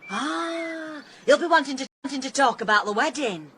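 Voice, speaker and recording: A woman's voice: high-pitched, lively intonation, normal speed, bright, forceful tone, slight background noise. An actress playing a doting, gullible older working-class mother, homely and chatty, in a radio drama. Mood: delighted.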